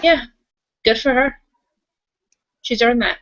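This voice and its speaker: A woman's voice: fairly high pitch, slow, fairly bright tone. A woman playing a young, candid werewolf in an improvised roleplay, casual and blunt as she questions things. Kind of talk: improvised in-character roleplay conversation. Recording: dull lo-fi voice recording.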